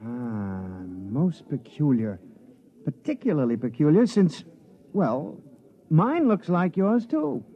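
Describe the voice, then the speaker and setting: Stiffly polite, animated gentleman miner. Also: fairly high pitch, takes it slowly. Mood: puzzled. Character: formal, deliberate, suspicious gentleman miner. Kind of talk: 1950s radio acting. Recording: dull vintage 1950s radio recording.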